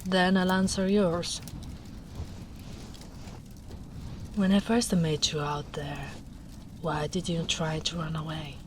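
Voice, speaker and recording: A woman plays a big, strong ogress with a tender streak, speaking softly and very slowly in a lowish voice. She is soothing and protective, with a little teasing, in the manner of an ASMR roleplay. Noticeable ambient noise sits behind her. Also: steady tone. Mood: gently teasing. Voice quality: soft, hushed. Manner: unhurried, soothing, lightly teasing. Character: gentle big strong female ogre, caring, teasing, protective. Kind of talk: soft-spoken ASMR roleplay.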